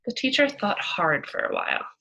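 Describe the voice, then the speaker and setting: Female reader for children's story narration, expressive, mid-pitched with lively swings. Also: moderate pace, vocal fry, muffled recording.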